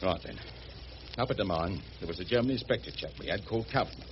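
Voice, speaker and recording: Lively, rough boatman, muffled recording. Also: low voice, quick pace. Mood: confiding, explaining. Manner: colloquial, rambling, folksy working-class speech. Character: rough, good-hearted working-class boatman, chatty and affectionate. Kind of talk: radio drama acting. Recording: muffled radio drama recording with background noise.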